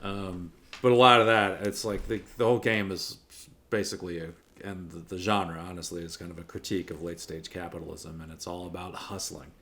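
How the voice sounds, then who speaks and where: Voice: low-pitched, fairly even intonation, a little fast, a bit bright. A player in a casual tabletop game, laid-back and joking.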